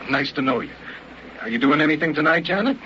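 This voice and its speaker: A muffled, very noisy old radio recording of an actor playing a male hunter, high-voiced and cocky. He speaks in hesitant, stammering small talk, as if a shy visitor from out of town. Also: expressive, fast talker, natural voice. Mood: polite, probing. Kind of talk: old-time radio drama acting.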